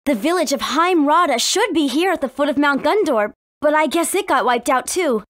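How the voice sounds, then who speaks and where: A woman with an American accent playing a spirited, earnest teenage warrior princess in an English video game, with expressive, emphatic delivery. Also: sits high, normal speed, no echo, clean studio sound. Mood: earnest, explaining.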